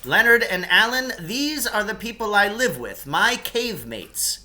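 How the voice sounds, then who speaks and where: A self-important, indignant storybook man, acted out by a male reader, loud and exclamatory. The voice is raised high and has a hard, bright edge, full of fussy exasperation. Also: sing-song, a little slow, exaggerated voice.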